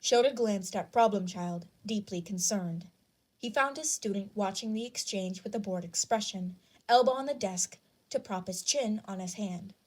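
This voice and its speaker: Woman narrator reading fan fiction, medium pitched voice, storytelling from a script. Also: normal speed.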